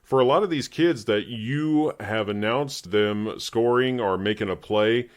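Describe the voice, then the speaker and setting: A man's voice: lowish voice, unhurried. An American man hosting a conversational sports interview, chatty, with long lead-ins to his questions. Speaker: interviewer, host.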